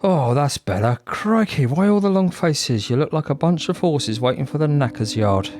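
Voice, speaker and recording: A man's voice: on the high side, expressive, moderate pace, darkish tone, no room sound, close to an everyday voice. A man playing a genial, homely husband of nearly sixty in a comic audio drama. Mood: jocular. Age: older.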